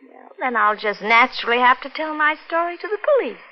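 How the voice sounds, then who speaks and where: An actress playing a brazen, shrewd blackmailing widow in a 1940s radio mystery, sardonic and streetwise with coarse grammar. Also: female voice, sits high, animated, fairly quick. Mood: hard, threatening. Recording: muffled old-time radio recording.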